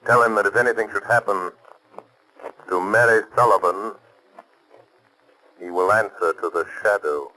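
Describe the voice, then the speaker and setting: An actor playing an unseen, commanding crimefighter with slow, ominous delivery in a 1930s radio drama. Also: male voice, lowish voice, pushed and bright, low-quality recording. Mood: ominous warning. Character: the Shadow, unseen male crimefighter, menacing, commanding. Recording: 1930s radio recording with music.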